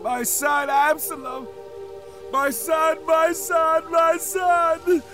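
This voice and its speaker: A man playing an anxious, grief-stricken king and father in a dramatized audio Bible story, with loud music underneath. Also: medium pitch, lightly acted. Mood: anguished grief. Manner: anguished, heavy, drawn-out delivery.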